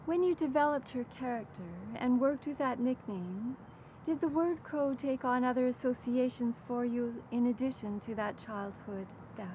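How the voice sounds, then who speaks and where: An older woman hosting a literary interview, putting prepared questions and audience questions in a measured, articulate way. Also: fairly high pitch, darkish tone, low-quality recording. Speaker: interviewer, event host. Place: outdoors in a rose garden. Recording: clear outdoor background noise.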